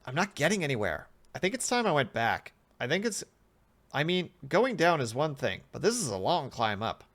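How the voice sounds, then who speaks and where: Young female test subject played by a man, horror audio story: frightened, whiny, exclamatory, pitched up, very animated. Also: moderate pace. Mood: weary, defiant. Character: frightened, disgusted young woman test subject exploring dark stairs. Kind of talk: horror fiction audio reading.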